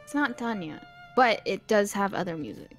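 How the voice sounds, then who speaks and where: A woman's voice: mid-range voice, animated, moderate pace, close to an everyday voice. A woman playing an easygoing, reassuring tinkerer in an improvised Minecraft roleplay, speaking casually. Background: background music.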